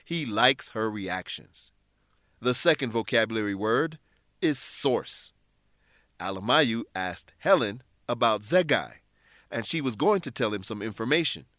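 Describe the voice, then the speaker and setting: Man, narrator and English teacher, scripted, explanatory, lively intonation, dry close recording. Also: mid-range voice, unhurried, dry recording. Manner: clear, explanatory, addressing listeners. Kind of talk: scripted narration and vocabulary lesson.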